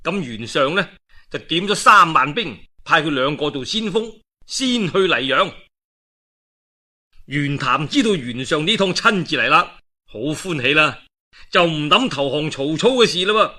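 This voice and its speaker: Animated, bright-voiced Cantonese male storyteller. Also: a little high, a little slow. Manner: colloquial and expressive, with rhetorical asides. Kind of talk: dramatic oral storytelling of a historical novel.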